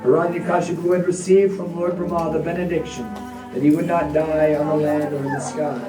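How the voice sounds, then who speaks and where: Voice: medium pitch, little pitch movement, brisk. Echoey, distant devotional story narrator. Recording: echoey, distant, music behind.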